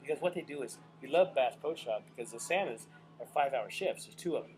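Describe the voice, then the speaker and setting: A man's voice: mid-range voice, expressive, fast talker, a little mellow, soft background music. A man hosting a casual live-streamed chat, talking in rambling stretches with stumbling restarts. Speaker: show host.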